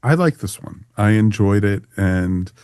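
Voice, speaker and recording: A man's voice: fairly low pitch, expressive, a little slow, a little mellow, clear, resonant tone, dry recording, clean studio sound. A man hosting a casual panel review chat, talking conversationally. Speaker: podcast host.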